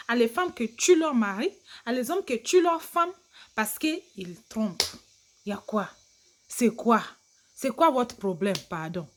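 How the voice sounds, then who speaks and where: Chatty anglophone woman, slow French. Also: high-pitched. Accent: English-speaking. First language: English. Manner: chatty, animated, laughs, broken French with English phrases. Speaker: vlogger. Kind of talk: casual advice vlog to camera.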